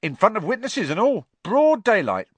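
A young bus conductor, eager and chatty, pitched well above the man who reads him and his own reading voice. He keeps gossiping and pulling his listener into agreement. The sound is close and dry. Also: sing-song, moderate pace, fairly bright tone, husky, no echo, full character voice. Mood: excited gossip.

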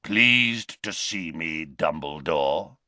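A man putting on a rasping savage werewolf. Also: a bass voice, animated, drawn-out words, bright, forceful tone, a little hiss or hum, pitched below the performer's own voice, full character voice. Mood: menacing. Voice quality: rasping bark.

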